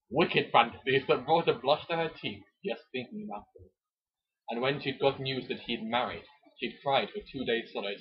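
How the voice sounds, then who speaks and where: Husky man reading mock-dramatically, muffled recording. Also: medium pitch. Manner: jokey, theatrical, breaks off to comment. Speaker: amateur reader and commentator. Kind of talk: mock-dramatic reading of a romance novel with joking asides.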